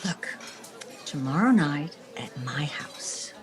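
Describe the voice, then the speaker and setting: A woman playing a sharp, self-assured lady publisher from an old-time radio comedy. She takes her time over the words and swings her pitch expressively. The delivery is teasing and theatrical. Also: lively intonation, slow pace, soft background music. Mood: indignant, scheming.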